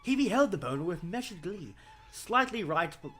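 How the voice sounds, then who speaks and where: A man's voice: high-pitched, sing-song, normal speed, over loud music. A man narrating a comedic story reading in a theatrical, mock-dramatic manner. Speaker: fan fiction reader.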